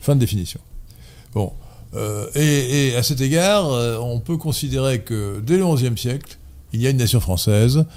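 A man in a casual debate, erudite and digressive, often pausing on fillers and correcting himself. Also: mid-range voice, animated, darkish tone. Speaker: debate guest.

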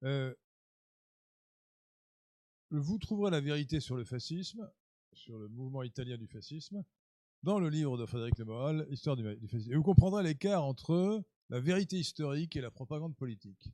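A man's voice: medium pitch, animated, normal speed, warm tone, dry recording. A man hosting a radio interview, making emphatic, lecturing points to listeners, with occasional fillers and restarts. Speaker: radio host.

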